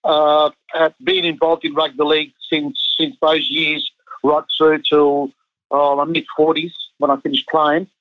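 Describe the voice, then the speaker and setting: Rambling coach with a muffled call sound. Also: male voice, fairly high pitch, flat delivery, measured pace, dry recording. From Chile. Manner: rambling, many ums and restarts. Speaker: national team head coach. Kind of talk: casual interview chat.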